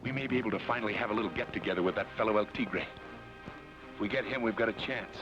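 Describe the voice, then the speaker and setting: An actor playing a steady, easygoing cowboy ranger in a dull old radio drama with music behind. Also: male voice, on the low side, fast, lightly acted. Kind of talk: 1940s radio acting.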